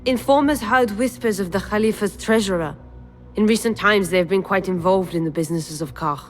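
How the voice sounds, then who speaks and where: An actress playing a stern, composed mentor in a secret order, formal and measured, in a video game. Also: female voice, medium pitch, close to an everyday voice. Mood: composed, cautioning. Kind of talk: video game cutscene acting. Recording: music behind the voice.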